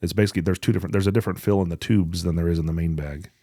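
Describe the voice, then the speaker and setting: A man's voice: low-pitched, steady tone, very fast, warm tone, dry recording, clean studio sound. A man hosting a podcast, talking casually about gear in a blunt, joking, sweary way. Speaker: podcast host.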